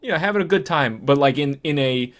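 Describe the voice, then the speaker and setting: A man's voice: a little high, expressive, quick pace, a bit bright. A man co-hosting a casual film discussion podcast, talking in a hesitant, meandering way. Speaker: podcast co-host.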